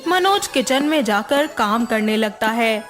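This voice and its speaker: A woman narrating a scripted family story in an even, measured way, with soft music underneath. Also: fairly high pitch.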